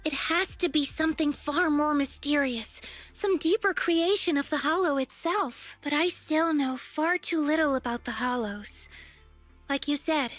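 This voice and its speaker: A woman's voice: high-pitched, measured pace, a bit bright, faint music behind. A woman voicing a curious, eager young girl researcher in a fantasy video game, musing earnestly. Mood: earnest, pondering.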